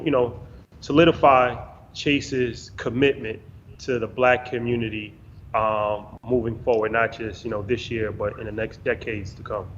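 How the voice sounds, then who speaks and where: A man, a podcast host moderating a panel, posing a question in a halting way while searching for words. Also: mid-range voice, a little hiss or hum. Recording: dull lo-fi call with faint ambience.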